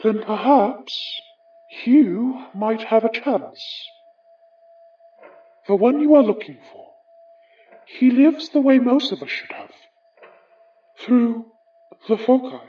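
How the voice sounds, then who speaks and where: A woman's voice: a little high, slow, pauses often, recorded from a distance, dull audio. A woman playing a haughty, bitter aristocratic ghost in a horror audio drama, speaking formally and theatrically with a British accent. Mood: cold, measured.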